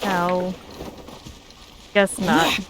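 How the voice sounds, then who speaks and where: A woman's voice: medium pitch, expressive, extremely slow, vocal fry. A woman giving casual commentary while she plays a video game. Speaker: game streamer.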